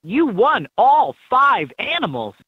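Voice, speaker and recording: A woman's voice: a little high, big pitch swings, slow, breathy edge, no echo, full character voice. A woman voicing an encouraging instructor in a children's animal game, giving scripted instructions in slightly dull game audio. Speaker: educational game voice.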